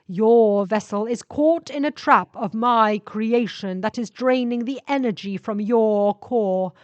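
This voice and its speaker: A woman voicing a needy, menacing male sentient spaceship in an audio story, speaking in slow, emphatic, drawn-out phrases. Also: a little high, darkish tone, no echo, highs cut off. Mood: threatening, demanding.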